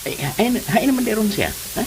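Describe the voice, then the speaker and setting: An actor playing a leering, flustered older Filipino uncle in a radio drama, stammering, hemming and exclaiming. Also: male voice, high-pitched, huge pitch swings, moderate pace, slight background noise, exaggerated voice, poor audio. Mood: flustered, eager.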